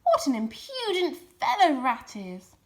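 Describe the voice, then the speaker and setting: Lady doing a confident storybook fox dad, jaunty and brisk, bright high pitch. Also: wildly swooping pitch, exaggerated voice. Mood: pleased. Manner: brisk, rallying. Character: jaunty, confident male fox leader and husband.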